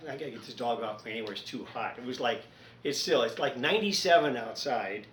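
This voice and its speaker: A man chatting casually in an unhurried, rambling, folksy way, as a hobbyist host of a home repair video recorded indoors. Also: mid-range voice, animated, fairly bright tone.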